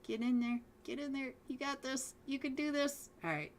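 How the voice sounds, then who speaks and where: A woman casting a mobile esports match live as a solo caster, chatty and talking straight to viewers, with a voice she says is going hoarse. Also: sits high, steady tone, normal speed, a little hiss or hum. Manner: chatty, talks straight to viewers, frequent restarts. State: losing her voice. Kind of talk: live game commentary.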